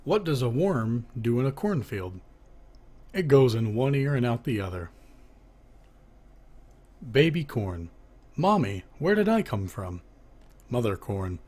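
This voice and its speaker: A man's voice: medium pitch, sing-song, a little slow, pauses often, darkish tone, slightly rough. A man reading a children's joke book aloud, announcing who speaks before each line and lifting his voice for the questions. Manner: lifts his voice for the asking half of knock-knock jokes.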